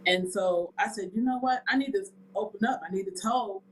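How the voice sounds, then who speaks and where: A woman's voice: medium pitch, fairly quick, slight background noise, poor audio. A woman guest author speaking candidly and hesitantly in an interview, with many ums. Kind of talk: personal testimony in interview.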